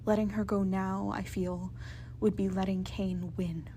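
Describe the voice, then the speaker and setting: A woman's voice: medium pitch, flat delivery, unhurried, dark tone, slight background noise. A woman listener reading a prepared argument for a voice message, earnest and formally worded, on an amateur recording. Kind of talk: prepared argument read aloud.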